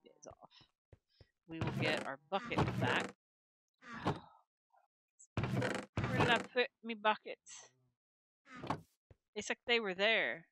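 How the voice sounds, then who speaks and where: A woman's voice: on the low side, very noisy. A woman casually commenting on her own gameplay at home, rambling and talking to herself as she plays. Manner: rambling, talks to herself while playing. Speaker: gaming channel host. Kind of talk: casual let's-play commentary. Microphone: desk microphone.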